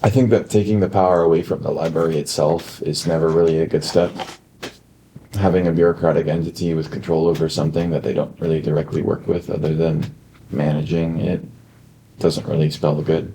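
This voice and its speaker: A student in his twenties answering interview questions deliberately, with wordy phrasing. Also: extremely low pitch, fairly even intonation, warm tone, a little hiss or hum. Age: 20s. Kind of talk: casual opinion interview.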